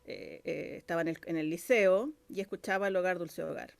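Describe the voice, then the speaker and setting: A woman's voice: on the low side, brisk, vocal fry. A middle-aged woman with a Chilean accent chatting casually as a guest, hesitant and repeating herself as she searches for words. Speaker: interview guest, scriptwriter. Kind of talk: casual conversation.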